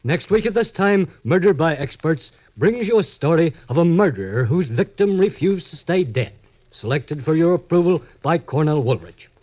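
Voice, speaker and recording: A man's voice: on the high side, big pitch swings, normal speed. A man hosting a 1940s radio mystery show, delivering scripted lines in a formal, dramatic manner. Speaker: host. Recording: dull, lo-fi old radio recording.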